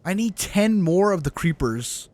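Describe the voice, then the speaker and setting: Animated high-pitched man streaming a game. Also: a little slow, slight background noise. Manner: slangy, animated, swearing, teasing. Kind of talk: casual chat with viewers during gameplay. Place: live stream at a gaming setup.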